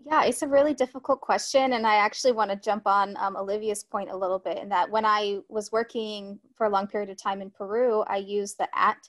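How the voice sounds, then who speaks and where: A woman with a mid-pitched voice answers as a panel guest. She speaks in an articulate, considered way. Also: fairly even intonation, fairly quick, few pauses, fairly bright tone. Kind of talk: thoughtful discussion.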